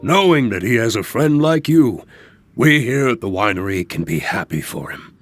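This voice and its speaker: An actor voicing a kindly elderly male winery worker in a game cutscene, speaking gently and fondly. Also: on the low side, very animated, dry recording. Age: older. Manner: gentle, fond, unhurried. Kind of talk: video game cutscene dialogue. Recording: game audio with background music.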